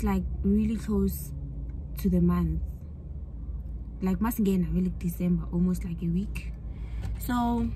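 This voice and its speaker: A woman's voice: medium pitch, warm tone, slight background noise, low-quality recording. A young South African woman chatting casually to camera in a vlog, sounding tired and mixing English with isiZulu phrases. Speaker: vlogger. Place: in a car, later at home indoors.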